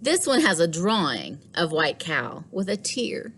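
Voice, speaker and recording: A woman teacher reading a storybook aloud to children in an expressive way, with deliberate dramatic pauses. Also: on the low side, slight background noise.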